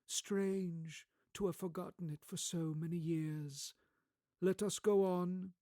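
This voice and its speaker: A man voicing an ageless spirit, part child and part old man, calm, solemn and commanding in a storybook radio play. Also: high-pitched, no echo, clean studio sound, pitched above the performer's own voice. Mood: gentle, solemn. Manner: measured, solemn, unhurried.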